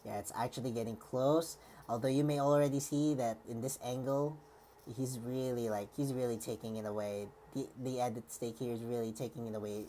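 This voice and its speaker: A woman with a strikingly deep voice talks through a live stream, hesitant and rambling, full of uh, like and restarts. Her voice sounds a little worn, and a faint hiss sits under it. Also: steady tone, a little fast, dark tone. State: slightly worn voice. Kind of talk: live-stream reaction commentary.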